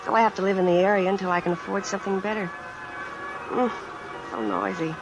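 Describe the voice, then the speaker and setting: An actress playing an earnest, guarded young American secretary, hesitant and polite, in old-time radio suspense style. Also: female voice, medium pitch, fairly even intonation, fast, vocal fry, lo-fi sound, over loud music, lightly acted. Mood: weary, disgusted. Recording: vintage radio recording, hiss and heavy rain. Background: rain sounds added over the broadcast.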